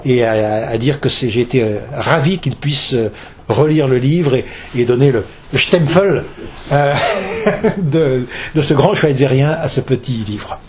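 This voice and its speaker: A man's voice: mid-range voice, a little slow, darkish tone, slight background noise. A man, from Alsace, speaking as an author at a public talk, hesitant, with many fillers and restarts. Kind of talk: lecture with audience questions.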